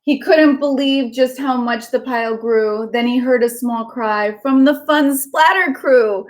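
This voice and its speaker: A woman children's author chatting casually and reading aloud to children, with an excitable manner. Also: a little high, measured pace. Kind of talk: casual chat and animated read-aloud for children.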